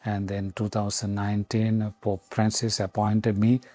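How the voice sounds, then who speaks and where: Halting low-voiced man from Sri Lanka. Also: completely flat delivery, dark tone, no echo. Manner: halting, many fillers and restarts. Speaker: Vatican official interviewed. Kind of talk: reflective interview answers.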